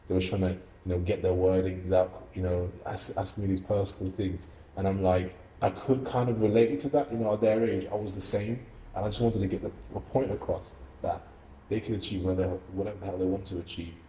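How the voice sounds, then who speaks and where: A man's voice: low voice, fairly even intonation, fairly quick, mellow, reverberant, a little hiss or hum, poor audio. A young man from Russia, an amateur boxer and student, giving earnest, reflective interview answers with many fillers and restarts. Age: young adult.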